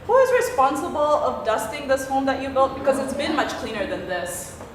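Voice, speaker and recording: An actress playing a sarcastic, tipsy grown-up daughter in a stage play, with a sardonic, cutting manner. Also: female voice, high-pitched, very animated, a little fast. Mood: taunting. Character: sarcastic, tipsy adult daughter of a wealthy businessman. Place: theatre stage, recorded from a distance. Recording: echoey theatre stage from far off, faint noise.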